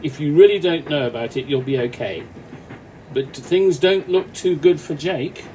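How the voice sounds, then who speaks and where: A man playing a calm off-duty policeman in a radio play, speaking in a measured, reassuring, authoritative way. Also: natural voice. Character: Mike, calm, commanding off-duty policeman on a train. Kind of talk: amateur radio play acting. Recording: noisy, train carriage rumble behind.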